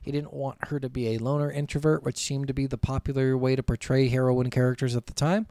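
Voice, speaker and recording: A man's voice: mid-range voice, level tone, fast talker, dark tone, dry recording. A man co-hosting a podcast, chatting informally with plenty of swearing and commenting on his notes as he goes. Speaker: podcast co-host. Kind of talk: casual chat, reading notes and commenting.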